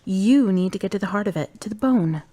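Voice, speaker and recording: An actress playing a calm, wise young woman in her twenties from the United States, who talks bluntly and teases dryly. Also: medium pitch, a little fast, lightly acted. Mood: firm. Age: 20s. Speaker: friend and love interest. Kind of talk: amateur web drama acting.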